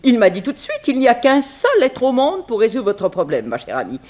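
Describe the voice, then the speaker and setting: An actress playing a wealthy, talkative, scatterbrained middle-aged widow in a theatrical, grand and fussy manner, in 1960s French radio drama style. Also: female voice, a little high, huge pitch swings, brisk, darkish tone. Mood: grand, chatty. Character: wealthy, talkative, scatterbrained American banker's widow and society aunt.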